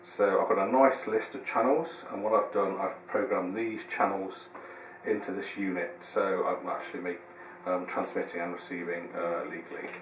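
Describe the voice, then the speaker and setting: A man's voice: low voice, fairly even intonation, normal speed. A man presenting a casual how-to demonstration, explaining step by step in a hesitant way. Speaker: tutorial presenter. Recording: roomy, distant mic, faint noise.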